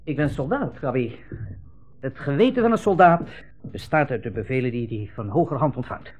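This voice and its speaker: A man's voice: mid-range voice, expressive, normal speed, a little mellow, husky, highs cut off, soft background music. A brusque army captain in a 1960s radio play. He has a mid-range male voice and hems and shrugs things off with cynical, dismissive obedience to his orders. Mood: brusque, impatient. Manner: hesitant hemming, offhand, dismissive.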